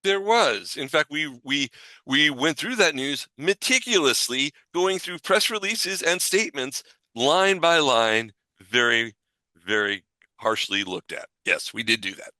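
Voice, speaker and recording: A man hosting a podcast in a casual, bantering way, with a jokey manner and some drawn-out words. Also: a little high, expressive, bright, forceful tone, no echo, rough recording. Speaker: podcast host.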